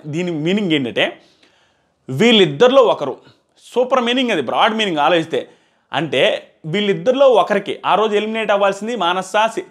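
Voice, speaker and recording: A man, giving an informal, animated review as he explains and repeats his points into a lapel mic. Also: high voice. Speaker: reality show reviewer. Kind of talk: informal episode review commentary. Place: small plain indoor room. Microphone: clip-on lapel mic.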